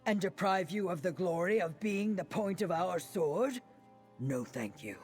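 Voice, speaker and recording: A man voicing a wise, steadfast reptilian mage in a video game, speaking in a measured, poetic way with a husky voice. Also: high voice, expressive. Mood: wry, resolute. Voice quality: husky, breathy rasp. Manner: measured, poetic, dryly warm. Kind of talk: video game character acting. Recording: music behind the voice.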